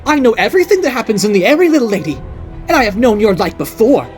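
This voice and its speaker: A woman voicing an unhinged, jealous middle-aged noblewoman who rants and accuses in a shrill voice. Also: very animated, fast talker, darkish tone, faint music behind. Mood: ranting. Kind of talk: audiobook character voice.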